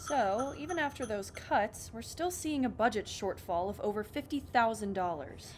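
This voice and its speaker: An actress playing a formal, officious school superintendent chairing a meeting in an audio drama. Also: female voice, lively intonation, moderate pace, close to an everyday voice. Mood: defensive. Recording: faint noise with low rumble.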